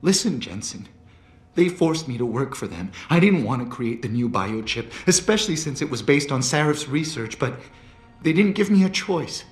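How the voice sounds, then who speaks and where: Guy playing a nervous captive scientist in a game, stammery n timid, mid pitch, roomy echo. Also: very animated, moderate pace, natural voice. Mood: frightened, pleading. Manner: hesitant, faltering, stammering. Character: anxious, captive male scientist, timid and apologetic. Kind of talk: video game cutscene dialogue. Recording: roomy game cutscene with music. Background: background music.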